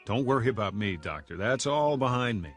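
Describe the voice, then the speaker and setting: A man's voice: mid-range voice, expressive, brisk, lightly acted. An actor playing an anxious salesman in his thirties recovering from a breakdown, hesitant and stammering, in a radio drama. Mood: relieved, upbeat. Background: background music.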